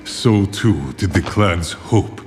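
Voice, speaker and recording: A weary, grave lore-keeper narrating a fantasy game tale. His voice sits low and he takes his time over each phrase, stately and deliberate. Loud music runs beneath him. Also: lively intonation. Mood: solemn, grave. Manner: slow, solemn, deliberate storytelling. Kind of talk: dramatic scripted narration for game cinematic.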